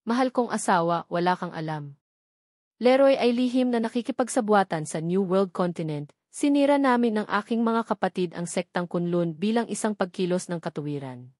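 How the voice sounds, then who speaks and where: A woman's voice: mid-range voice, lively intonation, moderate pace, a little mellow, no echo, studio quality, close to an everyday voice. A woman voicing a brash, teasing male warrior leader in a fantasy audiobook, taunting and self-assured. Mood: cocky, teasing.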